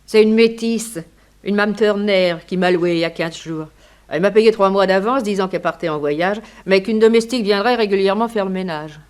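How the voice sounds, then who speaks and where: An indifferent, chatty landlady played by an actress in a radio serial. Her voice is crisp and medium in pitch, her talk colloquial and offhand. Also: a little fast, lightly acted. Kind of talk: French radio detective serial acting.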